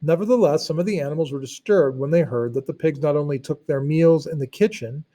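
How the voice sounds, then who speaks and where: A man narrating a storybook read-aloud in an expressive, teacherly way, with explanatory asides. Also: on the high side, fast.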